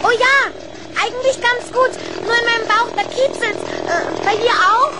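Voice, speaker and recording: A woman's voice: very high-pitched, wildly swooping pitch, a little slow, creaky, very noisy, exaggerated voice. A woman playing an eager, earnest young boy inventor in a children's radio play. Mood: curious, earnest. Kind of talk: 1970s German children's radio play acting.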